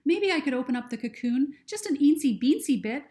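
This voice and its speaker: A woman's voice: high-pitched, animated, fairly quick, mellow, pitched above the performer's own voice. A woman voicing Lucy, a sensitive, earnest girl, speaking in a slightly raised, tearful storybook voice.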